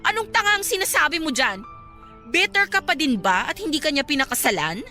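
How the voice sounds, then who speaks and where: Smug, high-voiced Filipino villainess. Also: female voice, sing-song, a little fast, bright, forceful tone, full character voice. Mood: scornful, irritated. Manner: dismissive, taunting, snappy. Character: smug, cold-hearted young married woman, a scornful rival. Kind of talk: Filipino radio drama acting. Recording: dramatic music bed behind the voice.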